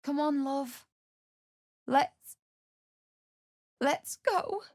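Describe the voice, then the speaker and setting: A woman's voice: high-pitched, drawn-out words, no room sound, studio quality, full character voice. An actress playing a desperate, bitter young widowed mother-to-be in a video game, with a British accent and an emotional, pleading delivery. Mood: subdued, resolute. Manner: emotional, tearful, pleading, prone to snapping. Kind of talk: video game character acting.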